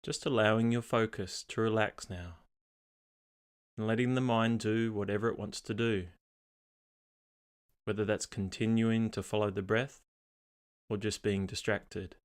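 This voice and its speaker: A man reading a scripted guided meditation in a calm, unhurried, measured way, pausing between phrases. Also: lowish voice, clear, resonant tone.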